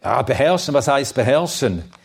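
A man's voice: mid-range voice, lively intonation, moderate pace, gravelly, slight background noise. Swedish-born man teaching a Bible class. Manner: thoughtful, plain-spoken, with hesitant fillers. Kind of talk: lecture with audience questions.

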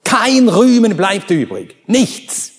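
A man whose first language is Swedish, preaching a sermon and reading scripture, emphatic and rising to high ringing exclamations. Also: huge pitch swings, slow, breathy edge. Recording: long reverb of a large hall.

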